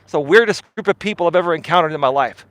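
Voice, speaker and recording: A man's voice: a little high, racing, fairly bright tone, no room sound. A man live-streaming while out on a walk, ranting bluntly at his viewers and hedging his claims with "in my opinion.". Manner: blunt, argumentative, repeats "in my opinion". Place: outdoors on a walk.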